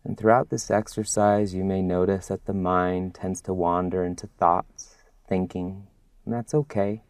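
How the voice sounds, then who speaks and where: Very slow, low-voiced man guiding meditation. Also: little pitch movement, no echo. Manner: gentle, unhurried, soothing, with long pauses. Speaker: mindfulness instructor. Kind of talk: guided body scan meditation.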